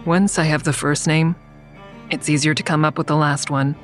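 A woman's voice: low-pitched, level tone, moderate pace, soft background music, lightly acted. A woman playing a wary, stubborn mother who narrates her risky investigation in the first person in an audio drama. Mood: guarded, uneasy.

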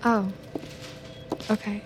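An actress playing a needy, clingy young office worker in a scripted audio drama, hesitant and stammering. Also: female voice, takes it slowly, background noise, natural voice. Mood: glum. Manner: hesitant, stammering, eager to please.

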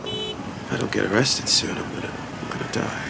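A man's voice: lowish voice, wildly swooping pitch, moderate pace, creaky, heavy background noise, exaggerated voice. A man playing an earnest, hapless young man in an audio drama, swinging between formal declaiming and flustered stammering. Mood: anxious, flustered. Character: earnest hapless husky young man staging absurd protests. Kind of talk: scripted audio drama acting.

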